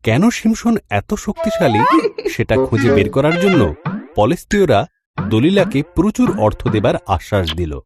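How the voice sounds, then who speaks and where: A man's voice: medium pitch, wildly swooping pitch, a little slow, a crowd in the background. A man narrating a scripted story in a dramatic storytelling style. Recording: music under the voice. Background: background music.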